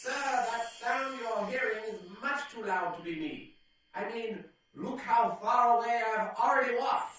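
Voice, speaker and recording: An actor playing a polite, mysterious tormenting voice, matter-of-fact, calling from afar in a comedic radio play. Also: male voice, very high voice, measured pace, a bit bright. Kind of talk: comedic old-time radio play acting. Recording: very echoey, distant, music behind.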